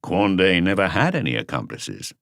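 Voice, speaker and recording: A man voicing a world-weary veteran police inspector in an audiobook, terse, measured and reflective. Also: low voice, wildly swooping pitch, a bit bright, no echo, clean studio sound, heavily acted. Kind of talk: audiobook character dialogue.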